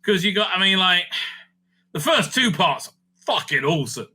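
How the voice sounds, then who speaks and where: Excitable man, very bright forceful voice. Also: sits high, moderate pace, breathy edge. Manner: excitable, sweary enthusiast. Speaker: host of a fan ranking show. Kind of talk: casual rambling commentary while ranking.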